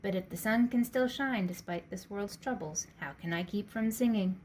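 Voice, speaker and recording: A woman's voice: normal speed, lightly acted. A plucky little rooster from a storybook, acted out by a woman. He is headstrong and steadfast, defiant in the face of bullying. A faint noise sits behind the voice. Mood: calm defiance. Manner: calm, steadfast speech with high crowing calls.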